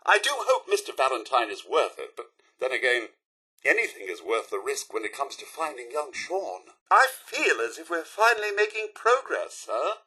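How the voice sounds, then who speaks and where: A man's voice: mid-range voice, very animated, normal speed, sharp tone, husky, exaggerated voice. A man playing a prim, devoted robot butler in a video game, fussy and formally polite, with a British accent. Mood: eager. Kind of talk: video game character dialogue.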